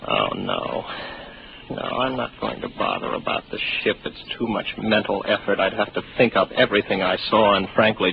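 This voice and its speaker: An actor playing a lonely, sardonic young space officer in a 1950s radio drama, talking in a dry, rambling way. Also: male voice, lowish voice. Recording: dull old 1950s radio broadcast with hiss.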